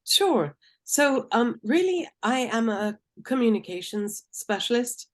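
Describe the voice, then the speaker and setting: A woman, answering interview questions in an articulate, measured way, with the occasional um. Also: a little high, very animated. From Tunisia. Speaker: guest.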